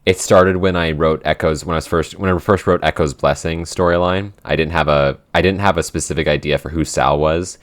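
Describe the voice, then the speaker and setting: A man co-hosting a casual podcast Q&A, thinking aloud in a halting way as he explains his writing. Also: extremely low pitch, steady tone. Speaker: podcast writer and co-host answering listener questions. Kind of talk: casual Q&A chat.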